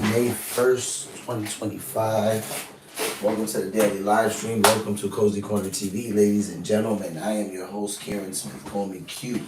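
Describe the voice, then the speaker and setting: A man's voice: on the low side, roomy sound, recorded from a distance. A man hosting a casual news reaction live stream, talking straight to his chat, his voice a little scratchy. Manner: chatty, talks straight to chat. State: slightly scratchy voice.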